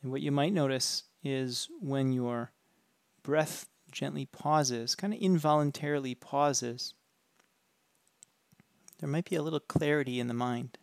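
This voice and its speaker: Guy guiding a meditation, soft and calm, kinda gravelly, dry close sound. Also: medium pitch, no echo. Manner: calm, slow, gentle instructions. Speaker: meditation guide. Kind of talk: guided meditation.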